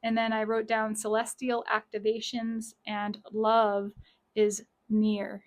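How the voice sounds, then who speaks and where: A woman with a slightly high, raspy and scratchy voice talks through a tarot spread from her small office. She chats casually, corrects herself mid-sentence and repeats the lines that matter. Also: completely flat delivery, slow. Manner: chatty, self-correcting, repeats key lines. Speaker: tarot reader. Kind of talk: casual pick-a-card tarot reading.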